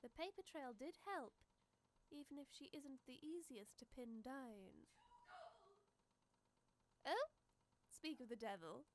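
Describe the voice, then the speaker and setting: A woman playing a sinister, gloating villain in an audio drama, mock-sweet, unhurried and condescending. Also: high voice, expressive, slight background noise, poor audio. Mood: cold disdain.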